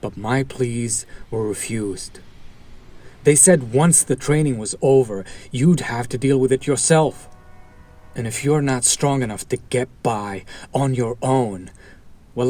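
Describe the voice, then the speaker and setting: Casting: male actor for a bitter hero-turned-villain in an audio drama, mid-range voice, slow deliberate delivery, sardonic and protective. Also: mid-range voice, darkish tone, lightly acted. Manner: deliberate, sardonic, theatrical, with long pauses. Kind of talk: dramatic audio roleplay monologue addressed to listener.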